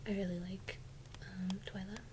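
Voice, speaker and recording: Soft whispery young woman doing asmr, chatty and slangy, super noisy recording with little clicks. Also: mid-range voice, little pitch movement, normal speed. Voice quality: breathy, often near-whispered. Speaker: ASMR video host. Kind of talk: soft-spoken ASMR doll unboxing, casual chat. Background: loud noise, clicks and crinkles of unboxing.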